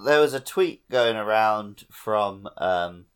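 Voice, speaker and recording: A man's voice: lowish voice, lively intonation, slow pace, clean tone. Wry man chatting casually. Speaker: podcast co-host. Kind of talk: casual chat about a video game.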